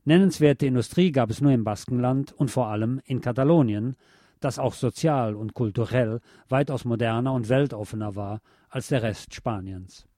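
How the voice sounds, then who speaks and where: A man reading a scripted historical documentary for radio in a measured, formal manner. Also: medium pitch, warm tone. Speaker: radio narrator.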